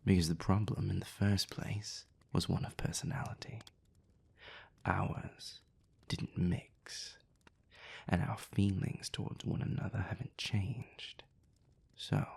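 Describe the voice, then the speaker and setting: A man voicing a haughty, sardonic male vampire in an audio roleplay, speaking with dry, mocking politeness in a gravelly, breathy voice. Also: deep voice, fairly even intonation, slow, in a whisper, exaggerated voice. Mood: cool, cutting.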